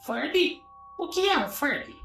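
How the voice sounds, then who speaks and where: A man voicing a small wide-mouthed creature in a horror story, a childlike, secretive imaginary friend with a coaxing, pitched-up, echoing voice. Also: huge pitch swings, measured pace, vocal fry, bright, forceful tone, exaggerated voice. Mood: curious. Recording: echoey, distant voice over music.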